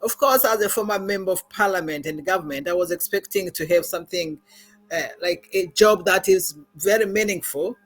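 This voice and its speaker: A middle-aged woman with a Rwandan accent speaks as a podcast guest. Her delivery is earnest and measured, broken by frequent pauses and fillers. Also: lowish voice, soft background music. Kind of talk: personal testimony in a podcast interview.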